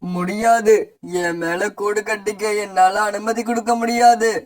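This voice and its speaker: A man's voice: sits high, fairly even intonation, unhurried. A man voicing a kindly talking tree, earnest and polite, in a children's cartoon fable. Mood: firm. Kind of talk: cartoon voice acting.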